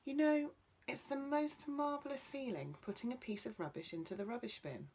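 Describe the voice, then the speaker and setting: A woman's voice: high-pitched, normal speed, darkish tone, a little hiss or hum, pitched above the performer's own voice. A woman voicing a male talking magic tree in a children's story, wise and bossy yet kindly, with an instructive manner. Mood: cheerful, proud. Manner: chanting rhymes, instructive.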